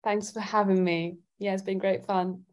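A woman's voice: mid-range voice, little pitch movement, moderate pace. A woman from Italy chatting casually as a guest singer-songwriter in an interview.

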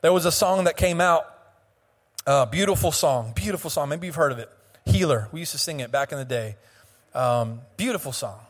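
A slightly hoarse young man preaching a sermon as pastor. He speaks with passion, stretches and half-sings phrases, and often restarts his words. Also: fairly high pitch, expressive, long reverb tail. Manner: impassioned preaching with sung, drawn-out phrases and frequent restarts. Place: church sanctuary.

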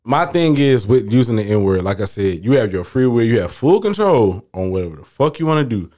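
A man's voice: medium pitch, sing-song, brisk, warm tone. A man, talking in loose slang on a casual podcast, full of filler and emphatic repeats. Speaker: podcast guest.

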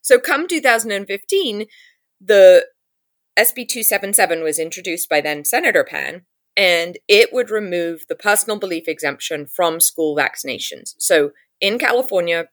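A woman's voice: lowish voice, a bit bright, no room sound. A woman in her thirties from England with an English accent, telling her story in an interview and acting out her past thoughts in quotes. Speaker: advocacy group founder.

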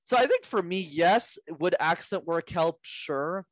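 A man who coaches public speaking, talking as a podcast guest in an energetic, emphatic way. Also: high-pitched, measured pace, no echo, studio quality. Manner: energetic, emphatic, animated explanations. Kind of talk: casual interview chat.

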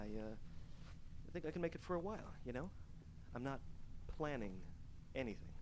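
An actor playing a frightened young man trapped alone, recording a halting, stammering diary in a horror audio drama. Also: fairly low pitch, noisy background, lightly acted. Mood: weary, subdued.

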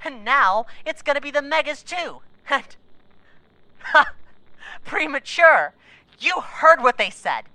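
An embattled officer on a moon base for a sci-fi audio drama. Her voice is sharp and forward, bitter and sarcastic, combative in manner, recorded dry and close. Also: high voice, big pitch swings, slow pace, pauses often, no echo, exaggerated voice, rough recording.

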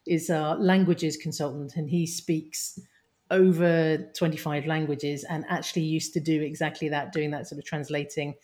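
A woman's voice: low-pitched, flat delivery, moderate pace, mellow. A woman co-hosting, chatting in a hesitant way with many restarts. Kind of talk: casual chat.

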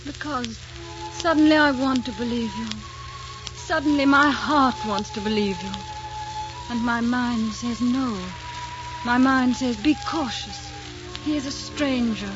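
A woman's voice: a little high, a little slow, over music, natural voice. An actress playing a demure, guarded aristocratic lady in a formal, refined 1940s radio romance. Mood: torn, yearning. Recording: muffled old 1940s radio recording.

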